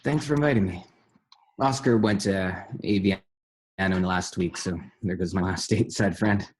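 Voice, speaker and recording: Low-voiced man acting a lonely guy, noisy line. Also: normal speed, natural voice. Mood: casual, downcast. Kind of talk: table read of a comedy web series. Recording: noisy home recording with clicks.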